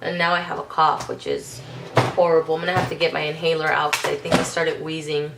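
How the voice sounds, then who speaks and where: A woman with a slightly low voice, stuffed up and under the weather, talks through a casual makeup vlog. She rambles in a chatty way, full of ums and little self-corrections. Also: expressive, fairly quick, heavy background noise. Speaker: beauty vlogger.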